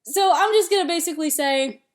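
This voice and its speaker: A woman's voice: very high-pitched, a little fast. A young woman vlogging a casual book review, chatty with lots of fillers and some exasperated asides. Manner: chatty, frequent ums, rising exasperation.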